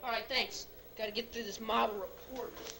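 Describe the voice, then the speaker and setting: A woman's voice: on the high side, fairly even intonation, fairly quick, natural voice. A woman playing a star police officer, impatient and matter-of-fact, in an amateur audio drama. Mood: businesslike. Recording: lo-fi home tape with noisy crowd.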